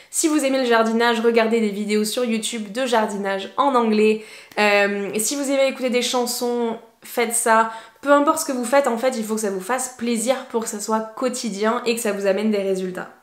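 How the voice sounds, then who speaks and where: Chatty woman, echoey room. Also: fairly high pitch. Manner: chatty, encouraging, many fillers. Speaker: English teacher. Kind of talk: casual tips to camera.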